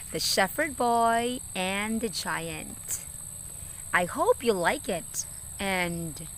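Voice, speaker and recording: A woman teacher reading a story aloud to children with deliberate, expressive phrasing. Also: mid-range voice, gravelly, a little hiss or hum.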